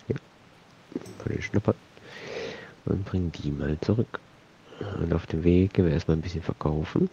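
A man's voice: low voice, gravelly, warm tone, muffled recording, slight background noise. A man with a cold, casually rambling and muttering to himself while commenting on his gameplay. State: has a cold. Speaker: let's play host.

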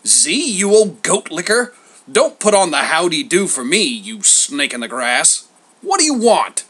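A man's voice: mid-range voice, expressive, moderate pace, sharp tone. A man playing a blunt, sardonic travelling poet, wry and sneering, in a comic story. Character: Homer, sardonic blind travelling poet, gruffly familiar.

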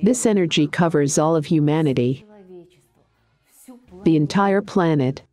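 A woman guiding a meditation in a calm, deliberate, soothing way, with pauses between short phrases. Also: low-pitched, soft background music. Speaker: meditation guide and coach. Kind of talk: guided meditation narration.

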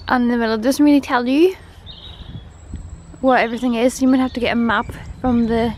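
A woman's voice: a little high, fairly even intonation, brisk, a little mellow, a little hiss or hum. A woman vlogger talking casually and enthusiastically while walking outdoors through a woodland park, recorded on a handheld camera. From Northern Ireland. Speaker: travel vlogger.

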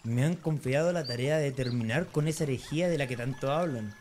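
A man's voice: mid-range voice, a little fast, a little mellow, a little hiss or hum, natural voice. A man acting as a zealous, dutiful young Pharisee, speaking earnestly and resolutely in an amateur radio drama. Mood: indignant.

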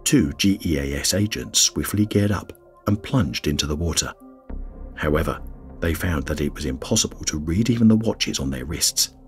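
A man reading a scripted documentary narration in a grave, measured way. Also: extremely low pitch, lively intonation. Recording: faint music bed under voice-over.

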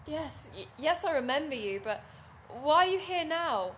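A woman's voice: high-pitched, lively intonation, moderate pace, fairly bright tone, a little hiss or hum. A woman playing an alarmed, suspicious investigator in an audio drama, stammering and challenging.

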